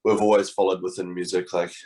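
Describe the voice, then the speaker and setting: Low husky joking young guitarist. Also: male voice, little pitch movement, brisk, warm tone. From New Zealand. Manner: joking, casual, rambling. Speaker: guitarist in a band. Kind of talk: casual interview chat.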